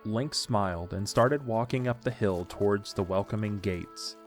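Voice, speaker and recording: Male narrator for fantasy audio drama: lowish voice, scripted storytelling, soft music bed underneath. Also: moderate pace.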